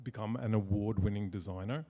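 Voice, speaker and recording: Mellow-voiced interviewer man. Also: fairly low pitch, fairly even intonation, fairly quick. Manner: wry, teasing, hesitant with fillers. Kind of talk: casual interview talk.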